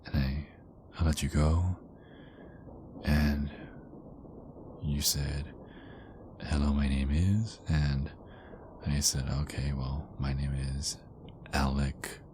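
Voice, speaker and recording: Very deep-voiced, whispery werewolf boyfriend, acted by a man. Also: steady tone, takes it slowly, pauses often. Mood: soft, nostalgic. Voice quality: very deep, breathy, often dropping into whispers. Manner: hesitant, rambling, murmured pillow talk. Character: big affectionate male werewolf boyfriend, playful and apologetic. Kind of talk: intimate ASMR boyfriend roleplay. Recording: clearly noisy background ambience.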